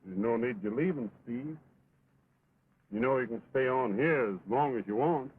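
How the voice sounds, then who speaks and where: A man's voice: mid-range voice, moderate pace, breathy edge, close to an everyday voice. Man playing an earnest cowboy in muffled old film sound. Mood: earnest, concerned. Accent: American. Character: earnest, steadfast cowboy pleading with his wayward friend. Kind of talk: early 1929 talkie western film acting.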